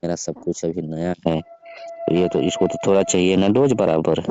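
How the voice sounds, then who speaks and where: A man's voice: deep voice, wildly swooping pitch, moderate pace, crowd noise. A man playing a brash young rich employer in a comic phone-call skit, pushy, dismissive and teasing with suggestive undertones. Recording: muffled lo-fi dry phone call recording.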